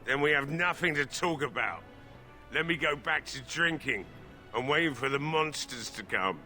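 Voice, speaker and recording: A bitter, hard-drinking village smith from a fantasy video game. He is gruff and resigned, with a sardonic bite and a forceful, bright edge. Faint music plays behind him. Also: on the high side, lively intonation, normal speed. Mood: bitter, dismissive. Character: bitter, drunken, despairing village blacksmith.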